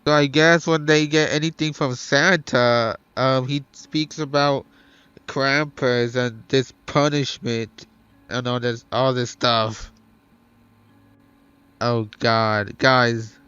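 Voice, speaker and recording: A man's voice: medium pitch, fairly even intonation, slow pace, a bit bright, smooth, no echo, lo-fi sound. A man doing casual let's play commentary, thinking aloud hesitantly and swearing now and then. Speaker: gaming streamer.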